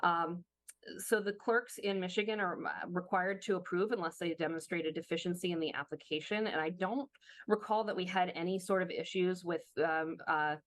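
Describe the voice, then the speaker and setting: A woman's voice: lowish voice, fairly even intonation, moderate pace, dry recording. A woman who is losing her voice a little, presenting slides in a workshop over a video call and explaining steps with many fillers and restarts. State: slightly hoarse, losing her voice. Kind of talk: webinar presentation walking through slides.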